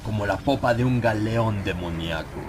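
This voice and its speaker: A man narrating a dramatized horror audiobook in a grave, theatrical manner with dramatic pauses. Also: lowish voice. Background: background music.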